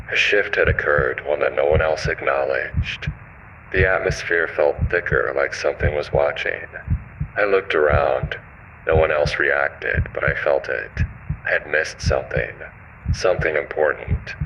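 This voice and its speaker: A man narrating a scripted horror story in a measured, ominous first-person manner. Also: very deep voice, bright, forceful tone, lo-fi sound, a little hiss or hum.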